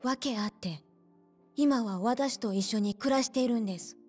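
A woman playing a gentle, earnest young hostess in a Japanese game cutscene, speaking politely and softly. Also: a little high, moderate pace, soft background music, lightly acted. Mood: anxious, earnest.

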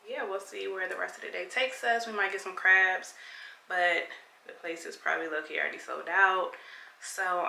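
Relaxed young woman vlogging. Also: fairly low pitch, creaky, a little hiss or hum, low-quality recording. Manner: relaxed, rambling, many fillers. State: out of breath. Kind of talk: casual chat to camera. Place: home.

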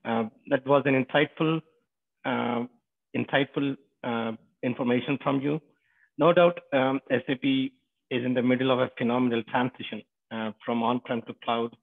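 A man's voice: medium pitch, fairly even intonation, moderate pace, vocal fry. A man hosting an online panel, talking fluently with some fillers. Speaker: host, company founder. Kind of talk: panel moderating. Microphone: online call. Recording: tinny phone-like call.

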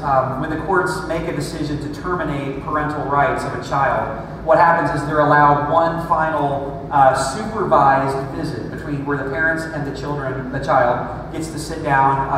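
A man's voice: medium pitch, background noise. A man in his thirties, a pastor preaching a sermon on a church stage, talking in a conversational way with dry self-deprecating jokes. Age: 30s. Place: large church stage with screen. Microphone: room mic far from stage. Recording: echoey hall recorded from far off.

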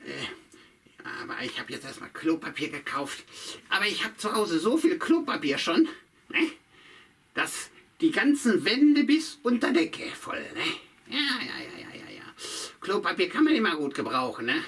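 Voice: mid-range voice, big pitch swings, normal speed, fairly bright tone, husky, full character voice. A puppeteer voicing a sly old witch who chats to herself and hums tunes in a puppet show. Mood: muttering. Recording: voice from a distance.

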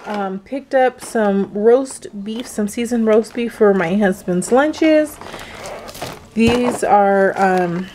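A woman in her thirties chatting casually about her grocery haul at home, unhurried and full of filler words. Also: medium pitch, a little hiss or hum. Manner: chatty, relaxed, many "um"s, unhurried. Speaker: vlogger, mother of several kids. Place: home kitchen, indoors.

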